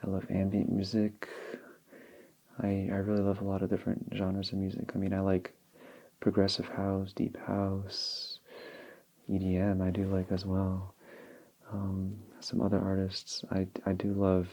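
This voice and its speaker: A young man whose first language is Polish, speaking softly and slowly as an ASMR creator answering viewer questions. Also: deep voice. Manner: slow and relaxed, with small restarts. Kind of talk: soft-spoken ASMR Q&A. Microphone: handheld field recorder.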